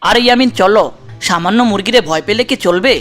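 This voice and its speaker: A woman voicing a caring young guardian in a children's cartoon, coaxing and gently teasing, with a Bangladeshi accent. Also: mid-range voice, fast talker, soft background music, lightly acted.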